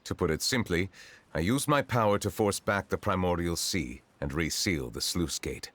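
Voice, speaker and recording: An actor playing a solemn, dignified judge in a video game, speaking formally, gravely and precisely. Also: male voice, deep voice, lively intonation, normal speed, fairly bright tone, a little hiss or hum. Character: solemn, dignified male judge, secretly an ancient dragon. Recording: music behind the voice.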